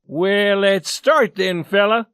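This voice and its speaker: A man's voice: high-pitched, takes it slowly, fairly bright tone, clean tone, no echo, studio quality, pitched above the performer's own voice. A man voicing an indignant, hot-headed man in a story, crying out insistently and defiantly to defend his honour. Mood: defiant challenge.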